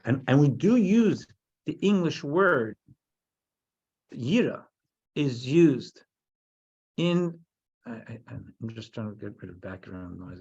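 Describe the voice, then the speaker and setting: An American rabbi from New Jersey leads a Torah class at a middling pitch. He often stalls and repeats small words before pressing on. The recording sounds dull and lo-fi. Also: a little mellow, breathy edge. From New Jersey, USA. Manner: halting, many restarts and repeated words.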